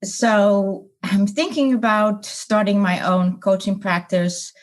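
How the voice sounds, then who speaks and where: Measured middle-aged woman guest. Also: mid-range voice. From Netherlands. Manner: measured, hesitant, with fillers. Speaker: coaching client, guest.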